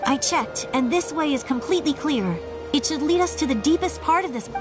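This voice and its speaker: A woman's voice: high voice, expressive, a little fast, loud music behind the voice. A woman voicing a plucky, cheeky young girl scout in a video game, chirpy, exclamatory and eager for recognition. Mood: cheeky, teasing. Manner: chirpy, exclamatory, talks to herself.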